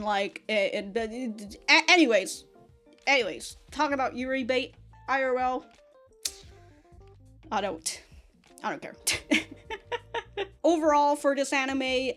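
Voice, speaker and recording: A woman's voice: mid-range voice, a bit bright. A woman giving a casual, unscripted review to viewers, giggly and rambling, often stammering through repeated false starts. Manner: giggly, often laughing as she talks. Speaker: anime reaction and review host.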